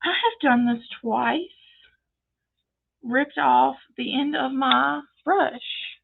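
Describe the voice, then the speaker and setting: A woman's voice: high voice, drawn-out words, vocal fry, background noise. A woman with a North Louisiana Southern accent, chatting casually as she demonstrates a painting craft. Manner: chatty, explains as she paints, frequent fillers. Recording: tinny phone-like sound.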